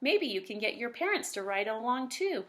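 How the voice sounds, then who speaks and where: Lively, higher-pitched woman reading a storybook. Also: moderate pace. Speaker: teacher reading aloud. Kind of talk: expressive children's storybook read-aloud.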